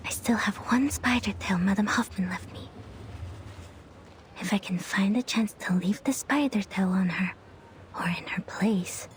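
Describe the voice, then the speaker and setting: A woman's voice: mid-range voice, measured pace, a little hiss or hum, close to an everyday voice. An actress playing a timid, awkward young female agent who speaks hesitantly in a video game. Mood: furtive whisper. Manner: hesitant, stammering. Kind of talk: video game character acting.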